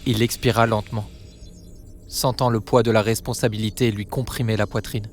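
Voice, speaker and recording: A man narrating a dramatic audio story in a grave, measured manner. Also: lowish voice, flat delivery, faint music behind.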